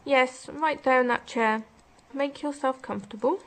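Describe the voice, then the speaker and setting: An actress playing a calm, sceptical psychiatrist who probes in a measured, patient, clinical manner in a radio drama. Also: female voice, high-pitched. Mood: calm, polite. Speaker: actor in an audio drama.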